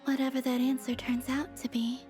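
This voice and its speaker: A woman voicing a gentle, wistful young moon maiden in a video game, soft, breathy and hushed, with a hesitant, dreamy manner. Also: sits high, little pitch movement, brisk, faint music behind, lightly acted.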